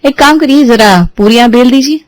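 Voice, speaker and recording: This is a young new bride, bold and sharp-tongued, pitched high and pushing hard, with a slyly bossy edge, as a woman storyteller voices her. The sound is dry and close, with no room around it. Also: normal speed, no room sound, close to an everyday voice. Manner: indignant, sly teasing.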